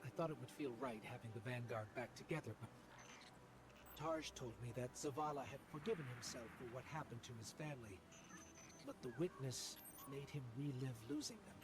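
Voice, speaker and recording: Confiding, concerned field ally male actor, mid-range voice, measured game radio monologue. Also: mid-range voice, expressive, over music, close to an everyday voice. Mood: concerned, resolute. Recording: clear background noise.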